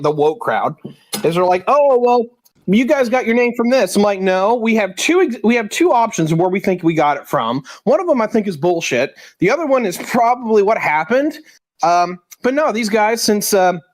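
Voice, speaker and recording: A man with a notably high voice, from Indiana, ranting heatedly with plenty of swearing. He talks casually, like he's chatting with friends. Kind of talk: casual chat.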